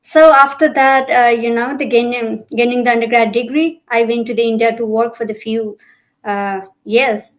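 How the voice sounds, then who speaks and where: A woman's voice: on the high side, fairly even intonation, moderate pace. A young woman from Nepal, a podcast guest, answering in a hesitant way with many fillers. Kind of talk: casual interview answers.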